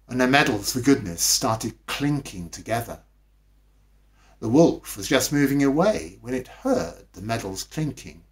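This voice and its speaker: Slow, animated male bedtime storyteller. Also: medium pitch. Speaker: storybook reader. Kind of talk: scripted bedtime story narration.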